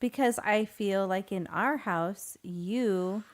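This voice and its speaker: A woman's voice: mid-range voice, slow. A woman co-hosting a podcast, talking in a casual, conversational style. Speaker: podcast co-host.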